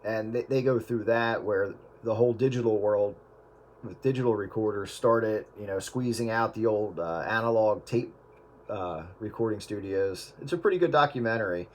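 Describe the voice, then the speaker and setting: A man chatting casually on a radio talk show, telling an anecdote in a relaxed, conversational way. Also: on the low side, normal speed. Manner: anecdotal storyteller.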